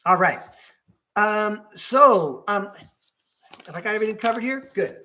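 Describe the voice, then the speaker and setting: A man's voice: high-pitched, expressive, a little slow, pushed and bright. An older man, hosting a podcast in a chatty, rambling way with many fillers and restarts. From New York, United States. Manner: digressive, chatty, many fillers and self-corrections. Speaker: podcast host. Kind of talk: rambling solo talk.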